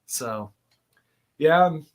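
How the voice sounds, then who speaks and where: A man's voice: fairly high pitch, lively intonation, drawn-out words, slight background noise. Rambling, laughing man in his thirties. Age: 30s. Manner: rambling storyteller who laughs and restarts often. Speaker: podcast guest. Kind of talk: casual chat.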